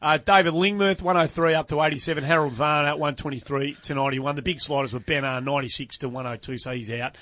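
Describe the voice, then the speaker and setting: An Australian man hosts a casual golf chat on a studio microphone, his voice sitting a little high for a man. He talks in an animated, emphatic way and rattles through the numbers. The sound is dry and close. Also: no echo. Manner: animated, emphatic, runs through stats quickly. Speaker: podcast host.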